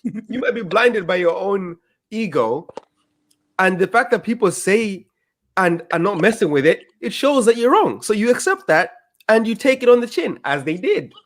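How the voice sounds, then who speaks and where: A man co-hosting a podcast in casual chat, with a high voice that swings widely in pitch. He lively acts out other people's reactions and laughs between points. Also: normal speed. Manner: animated, mimics crowd reactions, laughs while talking. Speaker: podcast co-host.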